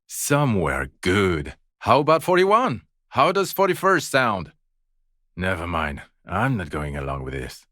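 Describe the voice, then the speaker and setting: A jaded video game police detective with a very deep voice, recorded close and dry. He is sardonic and exasperated, delivering his foul-mouthed scorn with biting sarcasm. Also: wildly swooping pitch, measured pace, sharp tone, no echo, full character voice. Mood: mocking sarcasm. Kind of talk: video game character voice acting.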